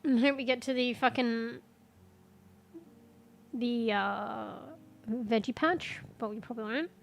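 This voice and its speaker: A woman's voice: on the high side, slow, vocal fry. A congested woman, rambling in casual live-stream chat as a streamer, swearing and reading search results aloud. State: congested, losing her voice.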